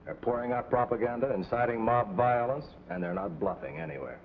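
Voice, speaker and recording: An actor playing a sober, worried undercover agent, earnest and terse, in 1950s TV drama style. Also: male voice, mid-range voice, animated, a little fast, close to an everyday voice. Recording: tinny, noisy 1950s TV soundtrack.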